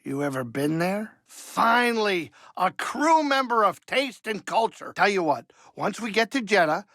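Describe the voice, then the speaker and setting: An aging alien starship pilot for a video game. His voice sits fairly high, with a bright, pushy edge and plenty of gravel. He is folksy and fussy, a wisecracking grumbler with a soft heart, recorded close and dry. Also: very animated, a little slow, no room sound, exaggerated voice. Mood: breezy, eager. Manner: folksy, wisecracking, fussy, colloquial streetwise talk. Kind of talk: video game character dialogue.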